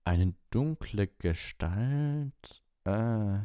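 A man's voice: on the low side, lively intonation, very slow, dark tone, clean tone, no echo, clean studio sound, pitched above the performer's own voice. A man voicing an earnest, uneasy teenage wizard schoolboy in an audiobook, hesitant but insistent.

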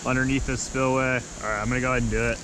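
A man's voice: mid-range voice, flat delivery, rapid-fire. A man in his thirties vlogging while fishing on a riverbank below a dam, talking matter-of-factly to a body-worn action camera. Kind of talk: casual outdoor vlog narration. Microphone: action camera, chest or head mounted. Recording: outdoor noise, wind and water. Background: wind and water.

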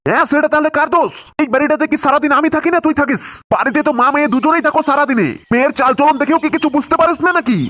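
Man acting a scolding cartoon dad, very high altered voice, breakneck pace, scornful. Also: very fast, few pauses, pushed and bright, muffled recording. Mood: angry scolding. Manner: scornful, berating. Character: angry scolding father, middle-aged village man. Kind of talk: comedy cartoon dialogue. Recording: music behind voice.

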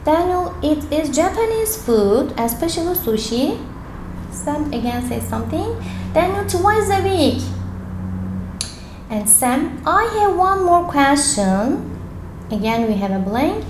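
A woman's voice: sits high, very animated, slow pace, roomy sound, a little hiss or hum, low-quality recording. A woman teaching English, reading worksheet questions aloud and explaining each answer step by step. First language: Turkish. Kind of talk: worksheet walkthrough lesson. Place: indoors at a desk over a paper worksheet.

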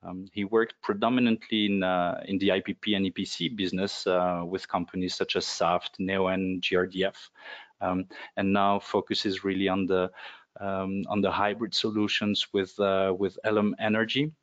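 A man's voice: deep voice, little pitch movement, normal speed. A man in his forties moderating a webinar on a video call through a headset, with frequent filler sounds. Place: indoor room, video call. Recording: dull lo-fi video call audio.

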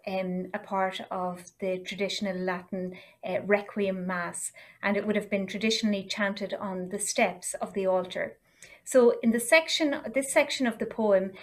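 A woman introduces the poem she is about to read and sets out its background in a calm, explanatory way. She speaks plainly and hesitates now and then. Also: mid-range voice. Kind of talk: explanatory introduction before a reading.

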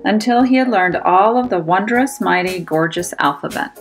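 A woman reading a children's storybook aloud with expressive, careful enunciation for young listeners. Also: mid-range voice, moderate pace. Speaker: storybook reader. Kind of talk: scripted children's storybook narration. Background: music.

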